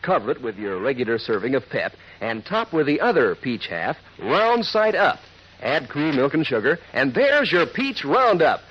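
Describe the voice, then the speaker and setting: A man's voice: mid-range voice, sing-song, normal speed, breathy edge, muffled recording. A man with an American accent reading a folksy, hearty 1940s radio advertisement in cowboy slang, on an old recording. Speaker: radio pitchman.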